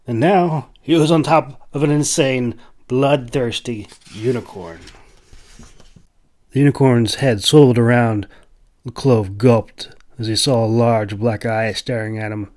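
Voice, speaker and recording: A man's voice: medium pitch, fairly even intonation, unhurried, darkish tone. A man reading scripted narration for a fantasy adventure audiobook. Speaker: author narrating his own audiobook.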